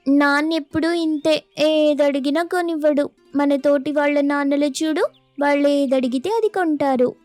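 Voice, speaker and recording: A woman's voice: high voice, fairly even intonation, moderate pace, darkish tone, no echo, soft background music, voice pushed up, lightly acted. A woman voicing a sulky young village child in a scripted moral story, whining in a childlike way.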